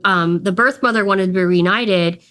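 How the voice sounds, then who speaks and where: A woman's voice: mid-range voice, moderate pace, a bit bright. A woman from Ohio, a guest in an interview, recounting events and quoting what others said. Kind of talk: conversational storytelling.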